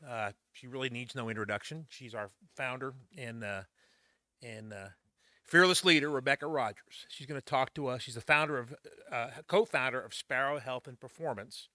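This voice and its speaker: A man introducing speakers at a meeting, halting with many uhs. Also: medium pitch, pushed and bright, no echo, studio quality. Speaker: meeting emcee.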